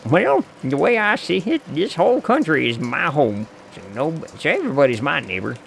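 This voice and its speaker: A man voicing a friendly, teasing hobo drifter with a folksy, unhurried way of talking, reading a game character's lines aloud. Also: on the high side, very animated, vocal fry, a bit bright, faint music behind, exaggerated voice.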